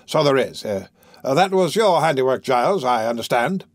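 A man voicing a jolly, eccentric, stout old bachelor doctor who blusters and fusses excitedly. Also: medium pitch, normal speed, no room sound, clean studio sound, lightly acted. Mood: hearty.

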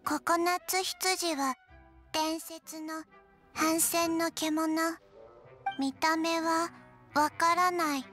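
A woman voicing a small, forgetful undead girl in a video game, halting and deadpan. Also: extremely high pitch, over music, heavily acted. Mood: blank. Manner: deadpan, halting, sleepy monotone. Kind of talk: Japanese game voice acting.

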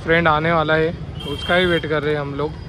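A young man with a slightly hoarse voice narrating a casual motovlog to his viewers, chatty and friendly, calling them guys and brother. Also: a little high, flat delivery, a little fast. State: hoarse from dust. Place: outdoors on busy city streets with traffic. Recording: noisy outdoor street recording. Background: traffic and motorcycle engine noise.

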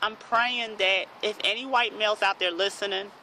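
A man around thirty with a high, piercingly bright voice pleads into his phone outdoors. He is earnest and halting, repeating himself, with faint outdoor noise around him. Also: steady tone, poor audio. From United States. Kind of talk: pleading amateur vlog. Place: outdoors in a park. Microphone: phone at arm's length.

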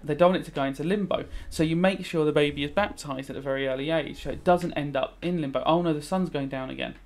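Higher-pitched British man thinking aloud. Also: quick pace. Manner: rambling, thinking aloud, switching between explaining and musing. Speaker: religious studies teacher. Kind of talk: casual revision commentary while playing a video game.

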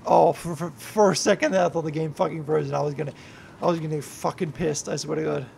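A drunk young man rambling and swearing through a gameplay livestream, yelling at the game now and then. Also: on the high side, steady tone, quick pace, creaky, a little hiss or hum. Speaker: YouTuber and streamer.

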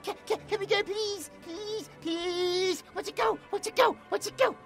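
A man's voice: extremely high pitch, fairly even intonation, moderate pace, vocal fry, fairly bright tone, faint music behind, full character voice. A British man voicing a childlike, clingy possessed demon who talks about himself in the third person with babyish words. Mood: excited.

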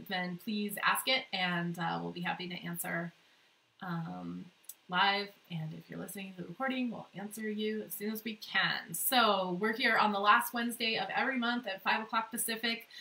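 An American woman in her thirties with a cold, hosting a casual livestream in an informal, halting way. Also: medium pitch, creaky, sharp tone, poor audio. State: sniffly with a cold. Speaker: livestream host, national director of an advocacy group. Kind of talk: casual livestream chat to viewers. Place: corner of a kitchen in a house, indoors.

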